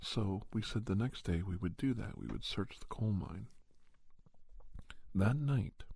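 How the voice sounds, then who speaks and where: A soft-spoken man telling a first-person story in an ASMR style, often dropping toward a whisper. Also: lowish voice, expressive, unhurried, creaky, husky, no room sound. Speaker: storyteller and podcast host.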